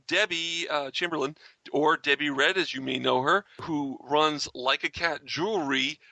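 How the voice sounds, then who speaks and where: A man chats casually as a podcast host running through event plugs. His voice sits a little high and is very bright and forceful, with noticeable creak. The sound is dry and close. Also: dry recording. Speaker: podcast host and convention merchandise seller.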